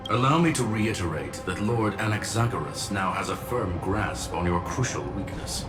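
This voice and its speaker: A low-pitched, logical gentleman machine from a video game. He is courteous, formal and precise. His voice has a strong echo with music behind it. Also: lively intonation, moderate pace. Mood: firm warning. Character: polite, logical, calmly protective male genius machine gentleman. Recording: echoey, with music.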